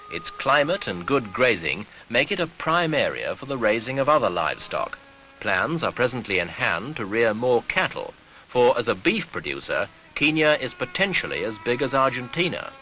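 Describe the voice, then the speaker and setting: Animated 1970s newsreel man, bright voice. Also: medium pitch, faint music behind. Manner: measured, formal, authoritative delivery. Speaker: newsreel narrator. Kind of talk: 1970s documentary newsreel scripted narration. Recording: noisy archival 1970s newsreel soundtrack.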